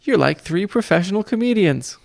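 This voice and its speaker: Guy doing snooty mocking vice principal from a kids audiobook, sneery, bit creaky. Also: sits high, lively intonation, fairly quick, a little mellow, no room sound, voice pushed up. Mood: mocking glee. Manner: exclaiming, sneering, jeering. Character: pompous, mocking, dismissive male school vice principal with shrill giggle.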